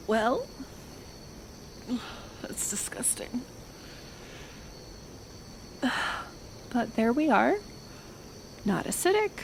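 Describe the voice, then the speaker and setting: A woman acting a kind but stubborn reclusive healer in an ASMR roleplay, gentle yet firm, unhurried, with sighs and pauses. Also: medium pitch, creaky, slight background noise, heavily acted. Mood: wry, disgusted.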